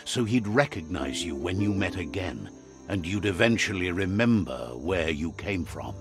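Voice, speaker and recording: A man narrating a game in a measured, solemn storytelling manner, retelling what a creature says. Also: sits low, animated, music in the background.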